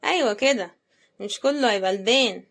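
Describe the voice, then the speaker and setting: A woman's voice: a little high, fast talker, fairly bright tone. A woman with an Egyptian accent giving a casual, chatty running commentary as she unboxes things, often thinking aloud. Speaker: unboxing video host.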